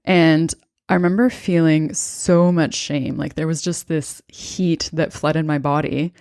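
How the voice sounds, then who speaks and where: A woman in her thirties, a podcast host and coach, calmly telling a personal story in a thoughtful, conversational way. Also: low voice, measured pace, dry recording, studio quality. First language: bilingual English and Estonian. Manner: calm, thoughtful, conversational with occasional fillers.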